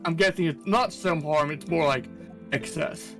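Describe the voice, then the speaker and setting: Man with a slightly high voice, chatting. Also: moderate pace, creaky, a bit bright. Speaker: stream co-performer. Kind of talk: casual chat. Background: background music.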